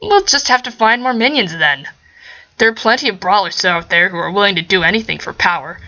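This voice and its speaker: A woman voicing a calm, smug teenage boy villain who schemes coolly in a fan fiction reading. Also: medium pitch, a little fast, highs cut off. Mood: smug scheming. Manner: calm, smirking.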